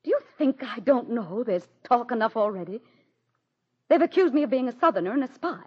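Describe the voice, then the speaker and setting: An actress playing a proud, embattled president's wife in a formal, theatrical 1940s radio drama style. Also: female voice, on the high side, animated, fairly quick, breathy edge. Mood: urgent. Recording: muffled vintage 1940s radio recording.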